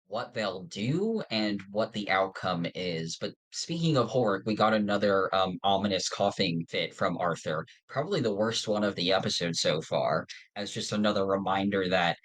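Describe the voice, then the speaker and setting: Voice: sits low, moderate pace, few pauses. A podcast host talking casually about a fiction series in a rambling, informal way. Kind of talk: casual fan discussion of an audio drama.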